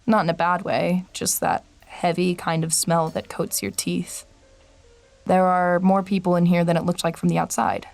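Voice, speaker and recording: An actress playing a wary, sardonic traveler in an audio drama, speaking in a dry, skeptical way. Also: female voice, fairly low pitch, fairly even intonation, moderate pace, a little mellow, natural voice. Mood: wry, observant. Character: Amber, wary sardonic traveler narrating a strange road trip. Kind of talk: audio drama dialogue and first-person narration. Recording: music bed behind voice.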